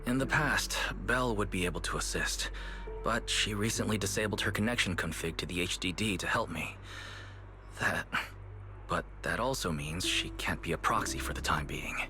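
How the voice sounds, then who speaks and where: Composed young male guide for a game: lowish pitch, gentle, measured, kind. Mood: earnest, apologetic. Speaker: video game voice actor. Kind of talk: animated game cutscene dialogue. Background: background music.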